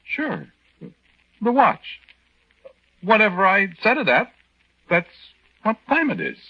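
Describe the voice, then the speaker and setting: A man's voice: high-pitched, big pitch swings, takes it slowly, a little hiss or hum, exaggerated voice. A man playing a meek, earnest young American army private in a 1940s radio drama, hesitant and stammering, confiding his story with folksy exclamations. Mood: confiding, agitated. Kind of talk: 1940s radio drama acting, confiding first-person narration. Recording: dull, band-limited 1940s radio recording.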